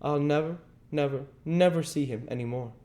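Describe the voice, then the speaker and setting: A man putting on a high-pitched, sorrowful young schoolgirl. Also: normal speed, warm tone, lightly acted. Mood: tearful regret. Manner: plaintive, theatrical storybook delivery. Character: melancholy young schoolgirl soliloquizing with regret.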